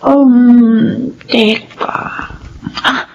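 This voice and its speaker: An actress playing a sly, seductive, scheming Filipino mistress in a radio drama, coquettish and insinuating. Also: female voice, a little high, flat delivery, very slow, creaky, a little mellow, lo-fi sound, a little hiss or hum, full character voice.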